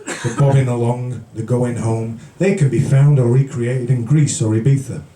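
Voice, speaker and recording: Male poet for live café reading: dark, mellow tone, literary and measured, heard from across an echoey room. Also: medium pitch, steady tone. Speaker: featured poet. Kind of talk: live poetry reading with brief casual asides. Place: small indoor café poetry event. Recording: roomy, distant, noisy live recording.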